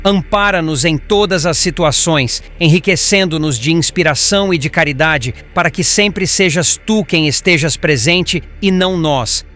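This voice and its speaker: A man's voice: fairly high pitch, a bit bright, close to an everyday voice. A man reading the part of a solemn, compassionate spirit mentor in an audiobook, with formal, elevated diction. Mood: prayerful. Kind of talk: scripted audiobook character reading.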